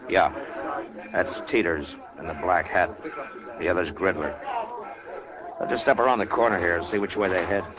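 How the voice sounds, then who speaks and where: Man playing tough Western lawman, very low voice, measured and dryly wry, old radio drama, muffled noisy recording. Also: a crowd in the background, lightly acted. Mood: brisk, businesslike. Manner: terse, measured, authoritative. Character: calm, tough, dryly wry frontier marshal.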